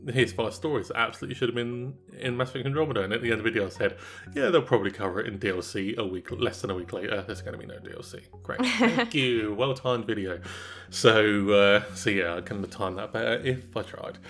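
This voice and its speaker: Young male co-host, low voice, stuffed-up nasal tone, chatty and rambling, soft music underneath. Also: lively intonation, fast talker. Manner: rambling, filler-heavy, disappointed. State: nasal from a cold. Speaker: gaming channel co-host. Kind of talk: casual gaming discussion. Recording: faint background music.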